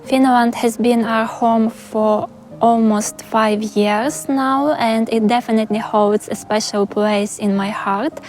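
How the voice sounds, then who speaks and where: A young woman with a Ukrainian accent, speaking English as her second language. She talks a little higher than most women, calm and earnest, as she works through viewer questions for her vlog. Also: takes it slowly. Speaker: vlogger. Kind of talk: Q&A vlog narration.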